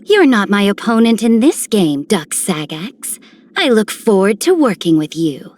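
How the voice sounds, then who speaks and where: A woman's voice: medium pitch, very animated, measured pace, a little mellow. A woman voicing a regal, dignified noblewoman commander in a video game, stately and formal. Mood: amused, regal. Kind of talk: video game character voice lines.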